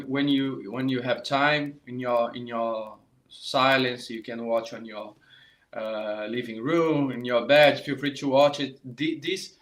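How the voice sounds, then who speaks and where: A Brazilian-accented man, middle-aged, talks straight to his online viewers in a voice of ordinary middle pitch. He is a saxophone teacher, halting and repeating words as he goes, with Portuguese as his first language. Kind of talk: live online masterclass talk.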